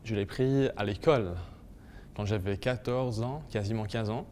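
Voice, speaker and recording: A man giving a measured personal testimony, recounting a memory. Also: fairly low pitch, warm tone, a little hiss or hum. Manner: measured, storytelling. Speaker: interviewee. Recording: echoey room with faint music.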